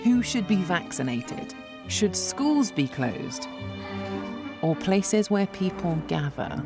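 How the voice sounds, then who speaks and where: Documentary presenter, woman, deep for her sex, measured delivery, music playing under her. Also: very animated. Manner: clear, measured explaining. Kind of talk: scripted documentary narration. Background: background music.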